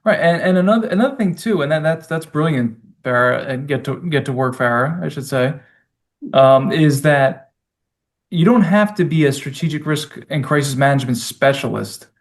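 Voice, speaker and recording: Forties man, security manager type, talks in halting restarts. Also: a little high, expressive. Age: 40s. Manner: halting, restarts often, repeats words. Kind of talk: casual conversation.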